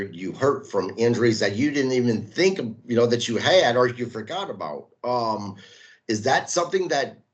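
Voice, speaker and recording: A man hosts a wrestling podcast and puts probing questions to his guest, often halting and restarting words. His voice sits a little low. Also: lo-fi sound. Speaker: podcast host. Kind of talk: interview questions.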